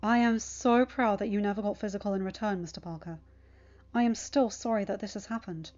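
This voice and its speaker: A woman's voice: medium pitch, a little mellow, natural voice. Woman voicing a formal, courteous school principal. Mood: apologetic. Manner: formal, measured, accommodating. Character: courteous, apologetic, authoritative male school principal. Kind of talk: audiobook character voice for fan fiction. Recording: dull lo-fi home recording.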